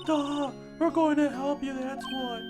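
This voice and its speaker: A man's voice: very high voice, steady tone, measured pace, music in the background, pitched above the performer's own voice. A man voicing a naive, earnest young female legal assistant from a video game, in a girlish manner. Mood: indignant. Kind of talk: video game character read-aloud.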